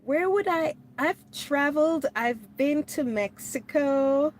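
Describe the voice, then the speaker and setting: A woman's voice: sits high, lively intonation, takes it slowly, a little hiss or hum. A woman born in Jamaica, chatting casually on a livestream, answering viewer comments and laughing often. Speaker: livestream host. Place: home in California.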